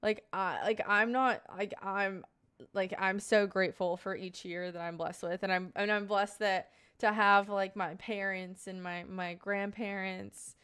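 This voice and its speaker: A woman's voice: mid-range voice, steady tone, unhurried, fairly bright tone, clean tone. A woman in her twenties talking casually on a live stream, answering viewer questions in a rambling, earnest way full of fillers. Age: 20s.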